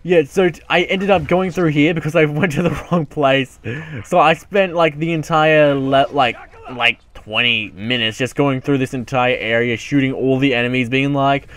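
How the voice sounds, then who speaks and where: A man's voice: medium pitch, moderate pace, fairly bright tone, slight background noise. A man giving casual let's play commentary as a gaming YouTuber, rambling and self-mocking, often muttering to himself.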